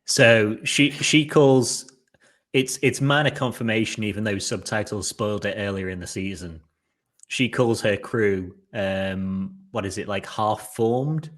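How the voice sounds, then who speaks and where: A young man co-hosting a casual podcast chat, rambling along with fillers and quick jokes. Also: on the low side, steady tone. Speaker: podcast co-host. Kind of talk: casual chat reviewing a TV episode.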